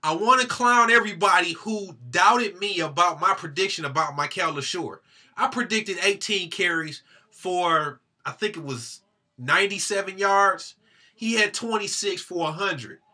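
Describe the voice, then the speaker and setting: A man's voice: high-pitched, normal speed, sharp tone. A young man from Detroit hosting a sports vlog, reviewing a game to his webcam in a heated, slangy, incredulous way. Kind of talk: animated sports rant to webcam. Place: small room with a team banner behind him.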